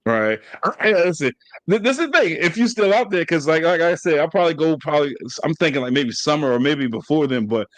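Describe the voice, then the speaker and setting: A fairly high-voiced man from New Jersey hosts a loose, casual interview, his American accent colored with a slight Southern twang. He rambles and restarts his sentences, his pitch jumping as he talks. The sound is close and dry, with no room around it. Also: a little fast, no echo. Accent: American, with a Southern tinge. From New Jersey, USA. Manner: rambling, restarts a lot, animated pitch jumps. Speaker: podcast host.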